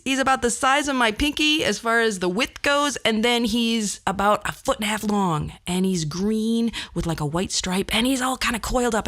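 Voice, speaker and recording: Chatty woman with a lowish voice, telling stories. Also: fairly bright tone. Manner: chatty, rambling, wry asides. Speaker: podcast host. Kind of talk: casual solo storytelling.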